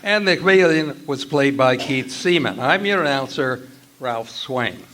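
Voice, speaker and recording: A man's voice: mid-range voice, expressive, measured pace, fairly bright tone, a little hiss or hum. A man announcing in a scripted, old-time radio style. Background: music.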